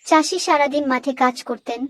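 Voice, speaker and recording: High-voiced woman telling a children's tale. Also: a little fast, fairly bright tone, no echo. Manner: clear, didactic storytelling. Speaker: storyteller narrator. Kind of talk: scripted children's story narration.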